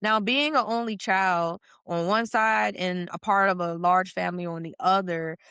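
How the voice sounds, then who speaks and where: A woman's voice: lowish voice, fairly even intonation, moderate pace, fairly bright tone, smooth, no room sound, clean studio sound. A woman in her thirties hosting a podcast, asking questions and affirming her guest in a casual, friendly way. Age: 30s. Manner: friendly, affirming, lots of "okay" and "mm-hmm". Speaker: podcast host. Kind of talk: casual interview.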